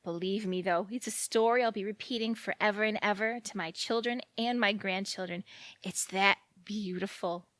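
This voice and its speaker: An actress playing a giddy, lovestruck romantic woman in an audio drama, gushing and dramatic and quick to take offence. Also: mid-range voice, steady tone, a bit bright, no echo, natural voice. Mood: giddy excitement. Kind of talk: audio theatre acting.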